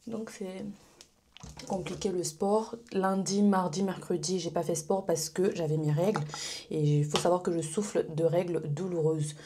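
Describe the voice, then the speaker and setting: A woman's voice: lowish voice, fairly even intonation, a little slow, warm tone, slight background noise. A young adult woman vlogging casually to camera, rambling with fillers and restarts. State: stuffy from pollen allergy. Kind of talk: casual vlog chat to camera. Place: bedroom at home, then small residence gym.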